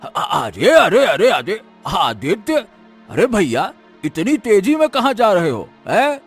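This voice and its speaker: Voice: medium pitch, huge pitch swings, slow, husky, soft background music, exaggerated voice. A performer voicing a chatty, stammering village headman in a cartoon story, folksy in manner. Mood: surprised, friendly. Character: village headman, adult man, chatty and concerned.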